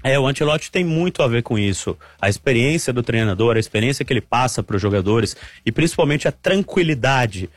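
A man's voice: mid-range voice, expressive, fairly quick, few pauses, no echo. A middle-aged Brazilian man reporting on the national team, chatty and explanatory, repeating points for emphasis. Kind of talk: live analysis on a football talk show.

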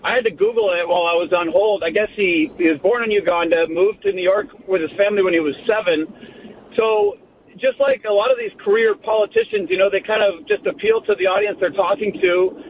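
A male caller on a tinny phone line with faint noise behind him. His voice is high and his speech informal and a little hesitant. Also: very animated, brisk. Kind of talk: phone-in call.

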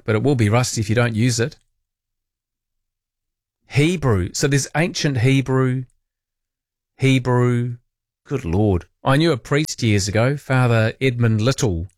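Male late-night radio host, New Zealand accent. Colloquial, wry phone-in chat, medium pitch, very close dry sound. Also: a little mellow, dry recording.

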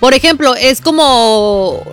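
A woman's voice: high voice, lively intonation, slow pace, soft background music. A young woman with a Mexican accent, chatting casually as a radio co-host with slang and bits of English. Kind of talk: casual chat.

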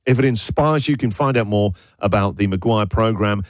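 A man's voice: on the low side, fairly quick, no room sound, studio quality. A man hosting a breakfast radio show, joking and laughing through listener puns. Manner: laughs often, playful, punning. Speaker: radio host. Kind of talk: jokey breakfast radio banter.

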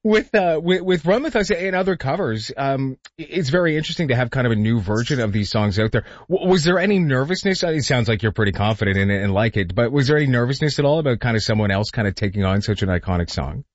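A man interviewing a guest, talking quickly and asking long questions padded with hedges. His voice is recorded dry and close, with dull, lo-fi sound. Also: mid-range voice, expressive, dry recording. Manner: rambling, hedging questions.

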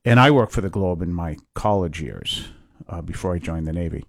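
A man in his fifties from Massachusetts, a priest, giving a rambling conversational interview with many pauses and restarts. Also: low voice, animated, dry recording, studio quality.

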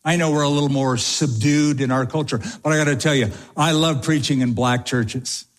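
A man's voice: medium pitch, fairly even intonation, normal speed, long reverb tail. A man preaching an expository sermon from the pulpit of a church, driving points home with repeated phrases. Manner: emphatic, repeats key phrases. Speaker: pastor. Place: church sanctuary.

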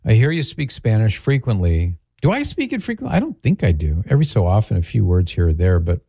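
A man's voice: lowish voice, huge pitch swings, fast, warm tone, husky, no echo, studio quality. A man hosting a radio talk show, chatting casually and reading listener emails with easy laughs. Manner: chatty, jokey, laughs easily. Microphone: studio mic.

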